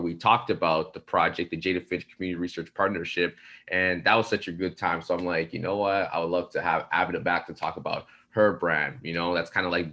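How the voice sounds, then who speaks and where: Deep-voiced man hosting a podcast. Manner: relaxed, filler-heavy, with frequent "you know" and "like". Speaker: podcast host. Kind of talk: casual podcast chat.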